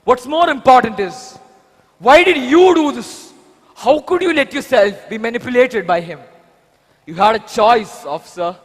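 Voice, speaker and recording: A man plays a young vigilante bent on justice, with an Indian accent. His voice is strikingly high and rings through a reverberant hall. He delivers each line with deliberate, theatrical weight, as in a stage drama. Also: animated, full character voice. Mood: reproachful. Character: vengeful young male vigilante seeking justice for his murdered father. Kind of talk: amateur stage drama dialogue. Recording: echoey hall, long reverb.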